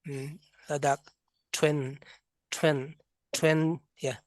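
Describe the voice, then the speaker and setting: A man with a Karbi accent teaching an English class, drilling words and sounds slowly and having students repeat. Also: a little high, little pitch movement, dry recording. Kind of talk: classroom lesson, mixing local language and English.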